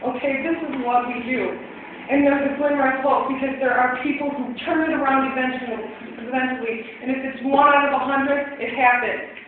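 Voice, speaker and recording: A woman's voice: high-pitched, fairly even intonation, fairly quick, narrow, tinny audio, slight background noise. A woman from in her thirties giving impassioned public testimony as a probation agent, stressing and repeating her key phrases, recorded from far off on a phone in a large hall.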